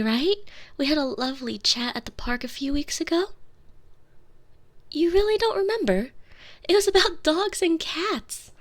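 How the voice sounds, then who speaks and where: A woman's voice: high-pitched, heavily acted. A woman playing a sheltered, haughty, lonely young princess in an intimate, theatrical ASMR roleplay on a close binaural mic, sometimes dropping into whispers. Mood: delighted, teasing. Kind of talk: ASMR roleplay, scripted monologue. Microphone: close binaural microphone.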